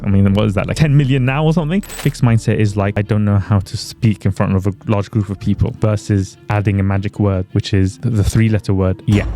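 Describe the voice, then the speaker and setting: A man in his thirties from Iraq, raised in London, chatting casually as an author and podcast guest in a relaxed way. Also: fairly low pitch. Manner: relaxed, chatty, frequent restarts. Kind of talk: casual interview chat.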